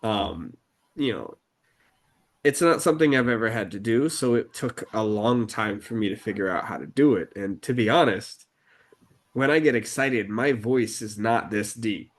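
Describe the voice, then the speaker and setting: A man co-hosting a podcast, talking slowly and deliberately in a casual chat, with frequent fillers and restarts. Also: on the low side. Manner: halting, many fillers and restarts, deliberately slowed speech. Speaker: podcast co-host. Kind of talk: casual conversational podcast talk.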